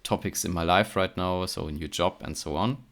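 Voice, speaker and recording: Low, gravelly German-accented man. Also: a little fast, fairly bright tone. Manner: hesitant, many fillers and restarts. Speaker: podcast guest, chemistry PhD. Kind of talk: casual interview chat.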